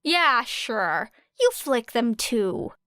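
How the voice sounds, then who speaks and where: Woman playing a prickly, slow-speaking young woman. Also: fairly high pitch, sing-song, pushed and bright, dry recording, clean studio sound, full character voice. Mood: sarcastic. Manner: scoffing, curt, sarcastic. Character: prickly, defiant, sarcastic, sweary young woman. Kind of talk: audiobook character voice.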